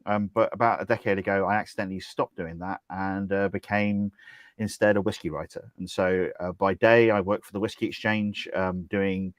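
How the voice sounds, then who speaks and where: Deep-voiced wry middle-aged man. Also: steady tone, normal speed, dry recording. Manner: dry, wry humour. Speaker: co-host, drinks ambassador. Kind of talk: casual livestream chat.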